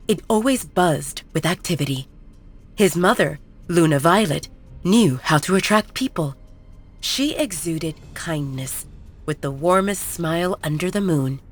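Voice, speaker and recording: Low-pitched woman narrating romantic fiction. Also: expressive, unhurried, soft background music. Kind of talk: scripted narration of a romance audio story.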